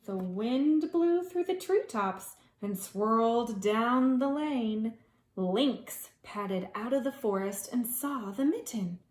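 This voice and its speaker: A woman preschool teacher reading a children's story aloud in a dramatic way, with hushed asides to young listeners. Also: a little high, animated, takes it slowly, reverberant.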